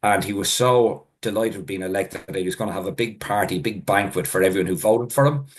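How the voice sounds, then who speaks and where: A man's voice: low voice, fairly quick. A middle-aged Irish man, a native Irish speaker, giving an informal talk as a food historian, rambling and correcting himself often. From Ireland. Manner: rambling, self-correcting storyteller with many fillers. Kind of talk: informal lecture with anecdotes.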